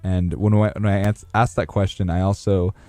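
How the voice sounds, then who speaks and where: A young adult man hosting a podcast, asking questions casually and haltingly, often restarting his phrases. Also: sits low, little pitch movement, warm tone, dry recording, soft background music. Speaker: podcast host. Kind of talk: casual unscripted interviewing.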